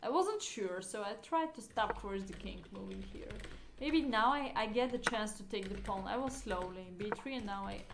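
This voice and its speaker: A woman's voice: medium pitch, lively intonation, moderate pace, creaky. A woman streaming chess and thinking aloud casually in short, simple phrases with non-native English wording. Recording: roomy, noisy mic with keyboard clicks.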